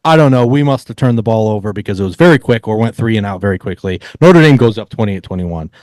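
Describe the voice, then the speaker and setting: A man co-hosting a podcast, telling stories in a casual, halting way and repeating words as he searches for the next. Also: fairly low pitch, expressive, darkish tone, dry recording. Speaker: podcast co-host. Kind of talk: casual sports storytelling.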